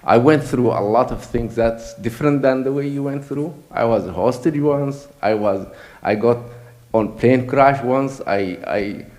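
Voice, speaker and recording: A man's voice: medium pitch, a little slow, darkish tone. A man from Sudan giving an earnest public comment at an assembly, often repeating and restarting his words. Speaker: audience member at an assembly.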